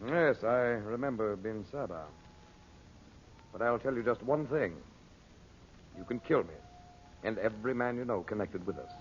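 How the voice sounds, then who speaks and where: An actor playing a cool, defiant captive magician in a 1940s radio serial, measured, wry and taunting. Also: male voice, lowish voice, animated, slight background noise, close to an everyday voice. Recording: muffled old radio recording, music behind.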